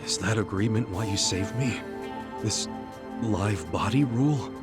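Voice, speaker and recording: A male actor plays a middle-aged gangster hero in a game cutscene, earnest and hot-headed. His voice is on the low side and his talk is blunt and informal, over loud music. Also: a little slow, close to an everyday voice. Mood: wary, curious. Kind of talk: video game cutscene voice acting.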